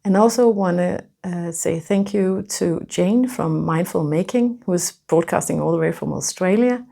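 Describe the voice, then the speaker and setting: A woman's voice: on the low side, moderate pace, creaky, dark tone. A Danish woman in her fifties with a Danish accent, hosting a knitting vlog in English, nervous and hesitant with many restarts. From Denmark. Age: 50s. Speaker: knitting podcaster. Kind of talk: casual vlog presentation.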